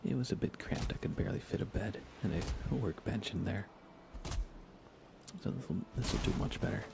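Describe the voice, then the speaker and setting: A man softly commentating on a relaxed ASMR gaming livestream, often near a whisper, musing aloud casually with dry humor. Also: on the low side, normal speed, gravelly, background noise. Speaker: live streamer, host.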